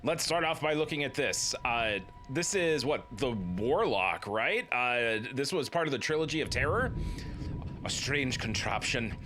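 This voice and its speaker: A man's voice: medium pitch, lively intonation, a little slow, sharp tone, soft background music. A man in his thirties hosting a let's play, joking and commenting casually as he plays. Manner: playful, jokey, slips into silly voices.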